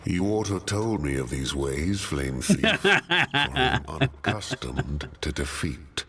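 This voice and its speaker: A man playing a gruff, mighty warrior in a video game, blunt and haughty, with a gravelly voice. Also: deep voice, very animated, takes it slowly, sharp tone, slight background noise, heavily acted. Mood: displeased, haughty. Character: gruff, impatient, mighty male warrior hero. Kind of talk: video game character acting.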